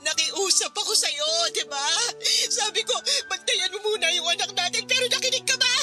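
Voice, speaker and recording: A woman's voice: very high voice, fairly quick. A woman playing a bitter, grieving mother who blames her husband in a tearful, reproachful radio drama performance. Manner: tearful, reproachful, emotional delivery. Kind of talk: Filipino radio drama acting. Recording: music under the voice.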